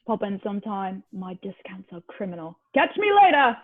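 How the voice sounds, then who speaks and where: A smirking, confident supermarket manager acted by a woman at a script reading, theatrical and teasingly sinister. The voice has a medium female pitch and comes through thin, phone-like audio. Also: lively intonation, normal speed, slight background noise, close to an everyday voice. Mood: smug, teasing. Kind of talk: amateur screenplay table read.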